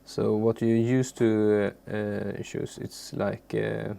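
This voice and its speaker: A man from Sweden, a native Swedish speaker, giving an informal, unscripted software tutorial in a halting, unassuming way with a gravelly, creaky voice. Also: on the low side, flat delivery. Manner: halting, searching for words, unassuming. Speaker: music software tutorial presenter. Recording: faint background noise, keyboard clicks.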